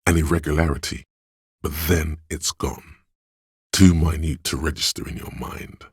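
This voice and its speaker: A man voicing a cool, sly, goading inner voice in a video game, narrating in the second person with deliberate, savored words. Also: fairly even intonation, no room sound, clean studio sound, exaggerated voice. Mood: observant, measured. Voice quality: very deep, gravelly, husky.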